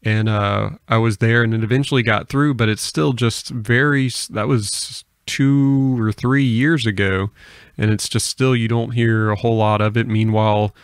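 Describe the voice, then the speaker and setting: Creaky-voiced American man hosting a podcast. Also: lowish voice, fairly even intonation, moderate pace, no room sound, clean studio sound. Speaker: podcast host. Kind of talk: casual chat. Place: podcast studio. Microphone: close studio microphone.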